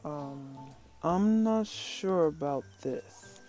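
Storybook dog, played by a woman: male, cautious, put-upon, low-pitched, unhurried muttering delivery. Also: lively intonation, dark tone, faint music behind, full character voice. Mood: grumbling, wary. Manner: grumbling, hesitant, muttering. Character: gruff, cautious, long-suffering male talking dog. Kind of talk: children's storybook read-aloud.

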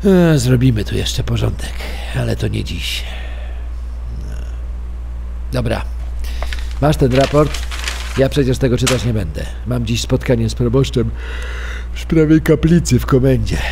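An actor playing a crude, domineering police commandant in a radio crime drama, gruff and foul-mouthed. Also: male voice, mid-range voice, measured pace, pauses often, mellow, slight background noise. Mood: grumbling, brisk. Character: crude, bullying, vindictive provincial police commandant.

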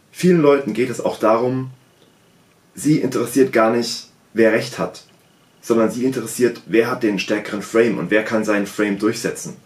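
A man's voice: lowish voice, roomy sound. A man in his thirties giving critical video commentary, emphatic and insistent, hammering home his points while seated in a small room at home. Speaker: political commentator.

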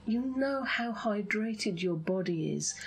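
Woman for hypnosis session; lively rise and fall, unhurried breathy phrasing that sinks into whispers. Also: medium pitch, a little hiss or hum, low-quality recording. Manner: soothing, drawn-out phrasing, often drops into breathy whisper. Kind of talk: hypnosis session, guided visualization.

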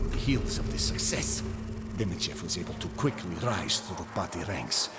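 An actor playing a grave, embittered scientist, narrating a game cutscene in a solemn, deliberate way. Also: male voice, lowish voice, heavy background noise, natural voice. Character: grave, embittered male scientist recounting catastrophe.

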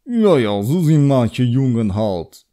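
Audiobook role: old male magician, voiced by the male narrator, strong accent, pitying, raised pitch. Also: big pitch swings, slow, warm tone. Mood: sympathetic disapproval.

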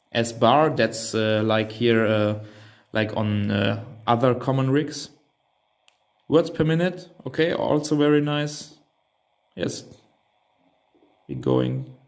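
Male hobby reviewer, native German speaker, unhurried and hesitant, talking through a device step by step. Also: medium pitch, expressive, vocal fry. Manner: hesitant, thinking aloud. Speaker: reviewer demonstrating a radio transceiver. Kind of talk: unscripted hands-on product walkthrough.

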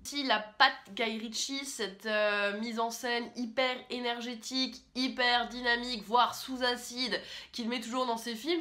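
A young woman talking casually and with strong opinions as she reviews films into a camera in a small room, often pausing on fillers. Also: a little high, sharp tone. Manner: chatty, opinionated, with frequent fillers. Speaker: film critic host. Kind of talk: casual film review vlog.

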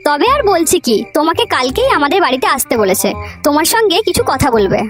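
A firm young cartoon girlfriend, performed by a woman, very high in pitch and rapid. She teases and chides affectionately, with music clearly behind her. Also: sing-song. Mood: excited. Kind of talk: Bengali comedy cartoon dubbing.

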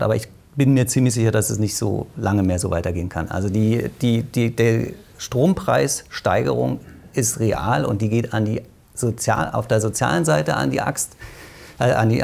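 A man's voice: mid-range voice, gravelly, darkish tone. A man from Berlin, a physicist and politician, talking as a guest on a panel with many restarts and hesitations. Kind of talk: discussion panel.

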